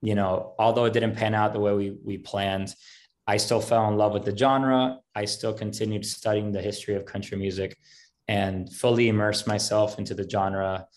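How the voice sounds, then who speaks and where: A man's voice: on the low side, flat delivery, moderate pace. A man in his thirties from Miami whose first language is Spanish, chatting easygoing as a podcast guest and rambling with lots of fillers. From Miami, United States. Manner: easygoing, rambling, many fillers like "um" and "you know". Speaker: podcast guest, country singer. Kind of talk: casual interview chat.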